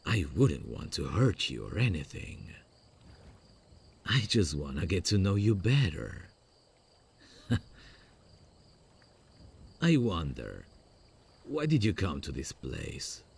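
A man playing a smug, infatuated stalker in a horror parody roleplay, coaxing and halting. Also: low voice, very animated, vocal fry, slightly rough, full character voice. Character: creepy, infatuated male stalker, smugly coaxing.

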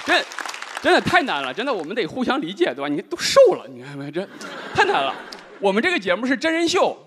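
A man with a strikingly high voice delivers a dry, deadpan stand-up set, his pitch swooping wildly from line to line. He speaks into a handheld mic on a big studio stage, with a live crowd laughing and murmuring behind him and a steady hiss under it all. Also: fast talker. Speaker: stand-up comedian. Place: large indoor studio stage with live audience. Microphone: handheld microphone.

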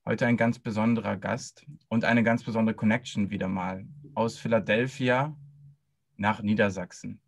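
A man's voice: on the low side, fairly even intonation, normal speed, gravelly. A man hosting an interview podcast, welcoming his guest and asking short questions. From Münster area, Germany. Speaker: podcast host.

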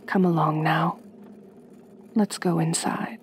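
A woman playing a gentle, doting aristocratic vampire mistress in an ASMR roleplay, speaking softly and breathily with genteel, soothing command. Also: sits low, steady tone, takes it slowly, a little hiss or hum. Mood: welcoming, gentle. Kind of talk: ASMR roleplay, intimate acting.

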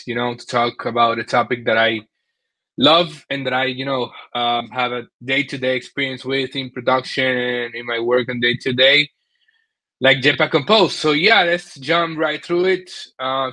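A man with a Dominican Spanish accent presents technical material on a video call. His voice is bright and forward at a medium pitch. He speaks haltingly, restarting and repeating words as he explains. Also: steady tone, rough recording. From Dominican Republic. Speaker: conference speaker, software developer. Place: small indoor room at home, video call.

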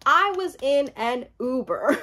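Lively young woman telling a story. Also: high voice, slow pace. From born in Uganda, raised mostly in the US. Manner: animated, laughs often, acts out quoted speech. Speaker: vlogger. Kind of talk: casual storytelling chat.

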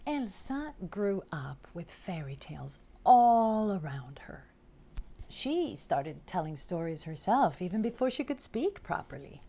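Children's picture-book presenter, woman, unhurried and expressive, lively melodic delivery. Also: fairly low pitch, vocal fry. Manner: deliberate, expressive, careful enunciation. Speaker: storytelling presenter. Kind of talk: scripted narration for children, picture-book reading. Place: indoors in front of a curtain backdrop.

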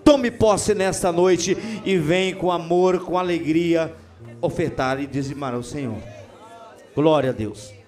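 High-voiced pastor leading prayer. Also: male voice, slow pace. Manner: gentle, coaxing, addresses listeners as "querido". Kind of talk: offering prayer and exhortation. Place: large church hall.

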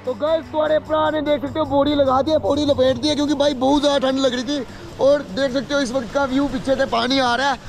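An excitable young man talks to his camera held at arm's length outdoors, joking with his viewers. His voice sits very high with a gravelly edge. Loud music plays behind him. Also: fast talker. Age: young adult. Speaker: vlogger. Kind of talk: casual travel vlog to camera. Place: outdoors in hill villages and forest. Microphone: action camera at arm's length.

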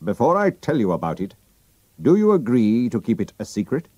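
A man's voice: mid-range voice, wildly swooping pitch, fairly quick, a little mellow, dry recording, highs cut off, voice pushed up. A man voicing a proud, anxious king who speaks formally and commandingly. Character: German-accented royal visitor, proud king, anxious.

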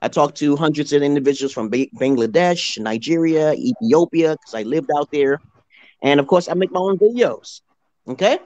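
A drowsy man in his forties with a New York accent, speaking slowly and drawing his words out as he tutors over a video call. He keeps leaning on "okay" between thoughts, and his voice comes through close and dry with no room sound. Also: fairly high pitch, lively intonation, darkish tone, no room sound, muffled recording. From United States. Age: 40s. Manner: slow, drawn-out and repetitive, leaning on "okay". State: just woken up, drowsy. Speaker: English tutor. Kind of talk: casual live-stream chat. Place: indoors at home, lying down. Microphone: video call, phone held close.

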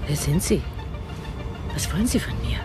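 A woman's voice: deep voice, very animated, normal speed, music in the background. An actress playing a wary, demanding woman under threat in a thriller audio drama.

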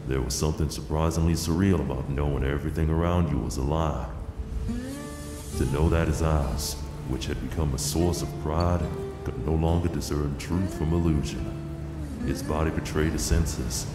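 A man narrating an audio drama as a grave, solemn storyteller of a western tale. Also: extremely low pitch. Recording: echoey long reverb under loud music. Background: music.

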